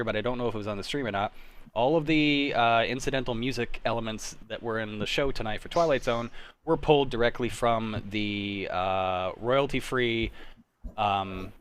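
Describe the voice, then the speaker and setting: A young adult man hosting a casual livestream chat, telling anecdotes in an animated, self-deprecating way. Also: medium pitch, normal speed, clean tone. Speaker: host of an online table-read show.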